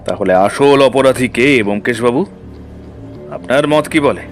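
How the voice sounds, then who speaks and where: A man's voice: medium pitch, a little slow, close to an everyday voice. A man playing a stern, easily affronted police officer in an audio drama, officious and confrontational. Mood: questioning, guarded. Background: background music.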